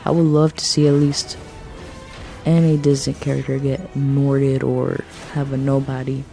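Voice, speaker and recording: A woman with a raspy voice talking through game theories in a rambling, hesitant monologue, thinking aloud and jumping between topics. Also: a bass voice, level tone, a little slow, dark tone, soft background music. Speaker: video game fan vlogger.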